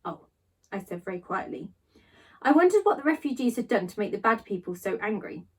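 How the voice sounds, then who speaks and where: A woman teacher reading aloud to pupils in an expressive, storytelling manner. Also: medium pitch, a little fast, slightly rough, far from the mic. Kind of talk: expressive children's story narration.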